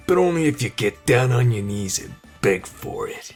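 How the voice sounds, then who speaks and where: A man's voice: mid-range voice, sing-song, moderate pace, soft background music, natural voice. A man voicing a cocky but cowardly teenage school bully in an anime fan audio roleplay. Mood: taunting.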